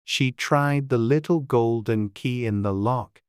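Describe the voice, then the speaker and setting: An audiobook narrator reading a children's story in a measured, deliberate storytelling way. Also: mid-range voice, clean tone, no echo, clean studio sound.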